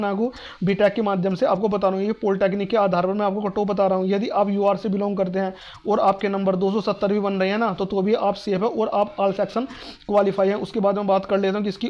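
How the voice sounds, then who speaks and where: A man's voice: sits high, a little mellow, dull audio. A man with a North Indian accent giving informal, explanatory advice to students, repeating his points, reassuring listeners and asking questions that he answers himself. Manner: repetitive, reassuring, asks and answers own questions. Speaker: exam-advice video host.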